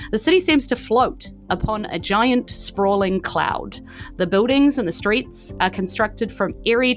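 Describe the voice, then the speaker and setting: A woman narrating a tabletop game as game master, descriptive and conversational. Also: medium pitch.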